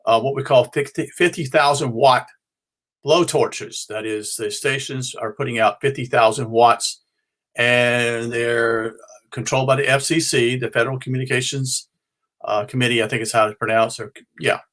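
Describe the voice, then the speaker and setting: A middle-aged or older man from North Carolina with an American accent, giving an informal, unscripted explanation as a hobbyist host in a halting, rambling way, often correcting himself. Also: mid-range voice, steady tone, a bit bright. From North Carolina, United States. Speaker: ham and shortwave radio hobbyist presenting his show.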